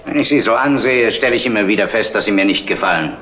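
Haughty film villain lord, male actor: lowish, rapid, curt orders, noisy 1960s soundtrack. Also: lively intonation, lightly acted. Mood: cold contempt. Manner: curt, imperious. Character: haughty, mean aristocratic lord of the manor. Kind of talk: 1960s German crime film acting.

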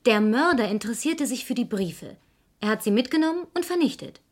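High-voiced, reproachful fiancée. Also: female voice, lively intonation, brisk. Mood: urging, thoughtful. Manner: reproachful, challenging. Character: protective, reproachful fiancée of a murder suspect. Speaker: radio play actor. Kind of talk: 1970s German radio crime drama acting.

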